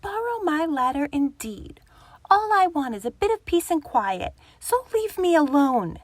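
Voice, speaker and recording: A woman's voice: sits high, sing-song, unhurried, no echo, voice pushed up. A woman voicing a grumpy, blustering male badger in a children's storybook, huffing and spluttering. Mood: grumpy, indignant.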